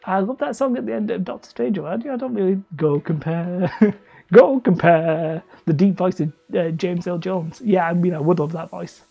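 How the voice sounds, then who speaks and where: A man casually chatting on a livestream while he crafts hobby terrain, rambling and self-deprecating as he reads the chat aloud. He calls his own voice high and whiny. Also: normal speed, creaky, dull audio, soft background music. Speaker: hobby terrain-making streamer.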